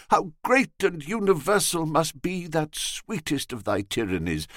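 Man acting a florid young gallant, sentimental, gushing, extremely swooping pitch, courtly French flourishes, storybook narration, dry sound. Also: no room sound. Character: effusive, sentimental young gentleman, florid and lovestruck.

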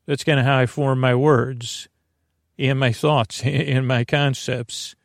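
A man with a creaky voice hosting a sleep podcast, rambling to the listener in a meandering, tangled, self-deprecating way. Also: mid-range voice, flat delivery, slow, dry recording, studio quality. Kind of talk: rambling bedtime monologue to the listener.

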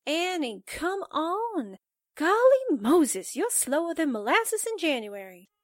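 Impatient gal-pal sidekick, 1940s radio: piercingly high, drawn-out words, folksy, excitable. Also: female voice, no echo, clean studio sound, heavily acted. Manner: folksy exclamations, exasperated nagging. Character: jittery, impatient, excitable young female sidekick. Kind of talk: 1940s radio drama acting.

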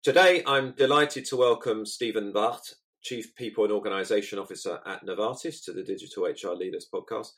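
A man hosting an interview podcast, reading a measured scripted introduction and then asking his guest questions. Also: on the low side, fairly bright tone. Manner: measured, list-like delivery with read-out ad copy. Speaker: podcast host.

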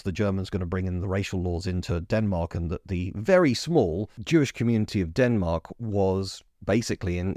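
A man with a fairly low voice hosts a casual history podcast. He talks in an easy, chatty way, often restarting mid-thought and stressing words he cares about. His voice is close and dry, with no room echo. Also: a little mellow. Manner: chatty, self-interrupting, emphatic. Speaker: podcast host.

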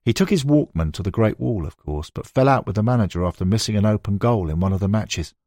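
A man's voice: lowish voice, animated, fast talker, mellow, no room sound, clean studio sound. A man reading scripted narration as an audiobook narrator, moving between prose and quoted recollections.

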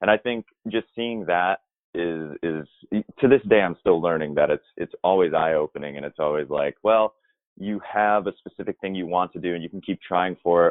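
Low-voiced man on a tinny line. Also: no room sound. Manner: thoughtful and rambling, with many restarts and fillers. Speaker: musical theater performer. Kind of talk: reflective interview answers. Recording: dry, tinny phone-like line.

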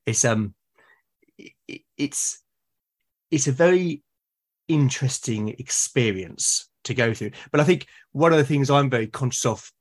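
A man's voice: medium pitch, measured pace. A British man speaking as a podcast guest, explaining things earnestly in casual conversation. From Britain.

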